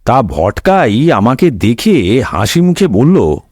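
A man's voice: mid-range voice, sing-song, slow pace, darkish tone. A man narrating a scripted comic cartoon story in a wry, theatrical way. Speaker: storyteller host.

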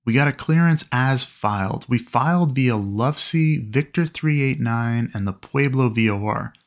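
A man narrating instruction in a clear, explanatory way. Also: mid-range voice, unhurried.

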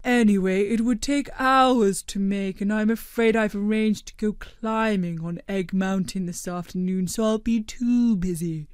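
Storybook role: a woman putting on a mild, sensible blob creature, slow and gentle, dry close sound. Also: mid-range voice, smooth, no room sound, lightly acted. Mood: mild concerned.

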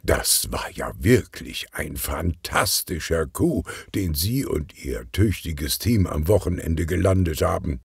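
A man's voice: extremely low pitch, expressive, takes it slowly, vocal fry, few pauses, husky, no room sound, studio quality, heavily acted. A man voicing an effusive, grandiose police director in a children's audiobook, gushing and pompous.

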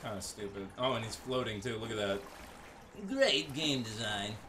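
A man's voice: on the low side, lively intonation, moderate pace, noisy background. A man giving casual video game commentary, muttering offhand remarks as he plays. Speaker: gaming video host.

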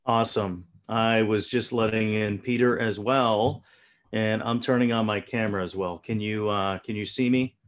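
A man interviewing guests over a video call, casual and relaxed, with many fillers. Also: lowish voice, moderate pace. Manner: relaxed, filler-heavy moderating.

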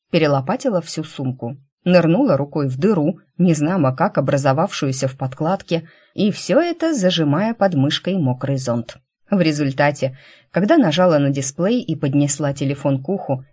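A woman reading fiction as an audiobook narrator, in a conversational, expressive storytelling manner. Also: low voice, husky. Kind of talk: scripted first-person fiction narration.

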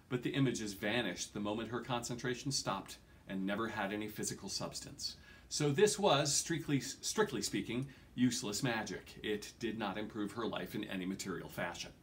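A man's voice: on the low side, lively intonation, normal speed, fairly bright tone. A man in his forties reading a fantasy novel aloud in a theatrical storytelling manner, with the odd small stumble. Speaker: reader. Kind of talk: expressive audiobook-style reading of a fantasy novel. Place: small home room, indoors.

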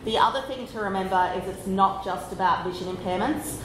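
A woman with an Australian accent giving a conference talk, loud and chatty, slowing down on purpose. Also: medium pitch, roomy sound, noisy background. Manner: loud, chatty, deliberately slowed. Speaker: conference speaker. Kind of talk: conference presentation. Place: conference room.

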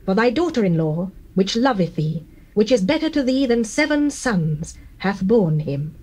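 A woman's voice: mid-range voice, very animated, moderate pace, dark tone, slightly rough. An actress playing a joyful, devout village woman in a dramatized Bible, reverent and declamatory. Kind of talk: dramatized King James Bible acting.

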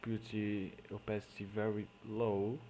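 A man with a Portuguese accent, a native Portuguese speaker, explaining digital painting step by step in halting English, often trailing off into quiet mutters as he works. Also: on the low side, level tone, a little hiss or hum. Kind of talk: screen-recorded painting tutorial.

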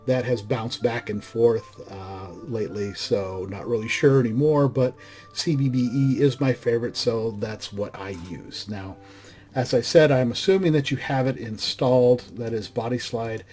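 A hoarse man hosting his own mod channel, talking through a screen-recorded software tutorial in a loose, conversational way full of "uh" and restarts. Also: mid-range voice, moderate pace, soft background music. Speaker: mod review channel host.

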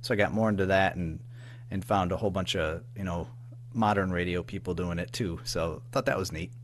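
A man chatting casually as an interview guest, informal and halting, padding his sentences with filler phrases. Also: low voice, slight background noise. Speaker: podcast guest, audio drama writer-producer.

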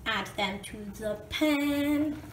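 Young woman, Scottish accent, fairly high voice, chatty cooking vlog, roomy kitchen echo. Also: slow. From Scotland. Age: young adult. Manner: chatty, upbeat, talks straight to camera. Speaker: student vlogger. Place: small kitchen, indoors. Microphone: camera mic, handheld and propped up. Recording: echoey kitchen with faint background noise.

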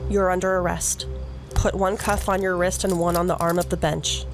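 A woman's voice: fairly low pitch, flat delivery, moderate pace, soft background music, lightly acted, poor audio. An actress playing a loving but resolute, conflicted policewoman in an audio drama. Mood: firm.

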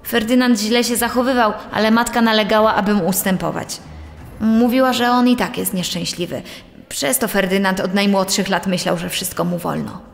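A woman playing the accused man's sister, giving measured, defensive testimony in court. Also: medium pitch, creaky, natural voice. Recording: echoing hall reverb, music behind.

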